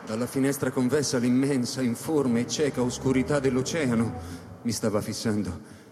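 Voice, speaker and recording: A man giving first-person dramatic narration in a radio play, deliberate and suspenseful. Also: mid-range voice, warm tone. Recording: echoey hall reverb, music behind.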